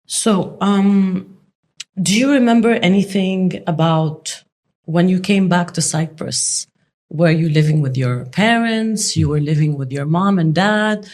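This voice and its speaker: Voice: medium pitch, a little slow, a little mellow. A podcast host interviewing a guest, asking questions in a conversational way and giving brief encouraging backchannels.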